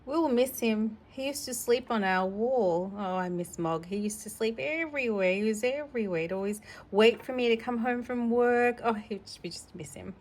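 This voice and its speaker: A woman reading a children's storybook aloud in an informal, gentle, conversational way, adding personal asides for a child. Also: fairly high pitch, normal speed, few pauses. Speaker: storybook reader.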